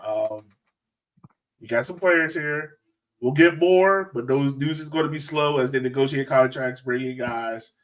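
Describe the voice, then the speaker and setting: A man's voice: mid-range voice, unhurried, far from the mic, low-quality recording. A man hosting a casual sports fan podcast, talking in an informal, slangy, joking way. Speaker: podcast host.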